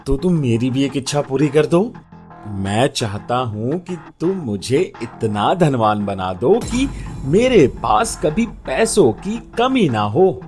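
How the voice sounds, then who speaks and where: A man plays an earnest, eager young village son in a moral tale. He speaks slowly and emphatically with lively swings in pitch, over light music.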